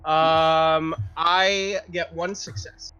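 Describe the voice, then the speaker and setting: A man playing a tabletop roleplaying game, talking casually and haltingly, partly in character. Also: a little high, little pitch movement, bright, forceful tone, dull audio. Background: background music.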